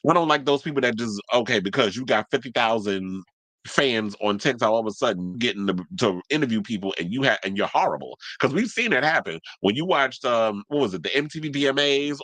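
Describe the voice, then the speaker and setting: A man's voice: mid-range voice, fairly bright tone, no room sound. A man hosting a celebrity gossip show, talking in a blunt, chatty way, with a stuffy, congested voice. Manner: chatty, blunt, swears freely. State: congested from allergies. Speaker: celebrity gossip podcast host. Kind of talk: casual solo commentary.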